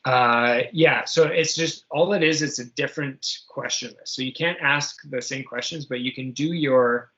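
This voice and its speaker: A man working as game master of a tabletop superhero game, improvising narration and explaining rules in a halting way, searching for his words. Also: medium pitch, bright, forceful tone, dull audio.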